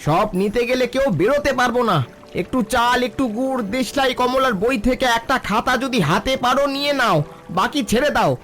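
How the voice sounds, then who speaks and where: A man voicing a steady, protective village father in a cartoon, giving practical, instructive guidance. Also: extremely high pitch, brisk. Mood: urgent. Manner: instructive, reassuring. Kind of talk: Bengali cartoon dialogue. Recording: music behind the voice.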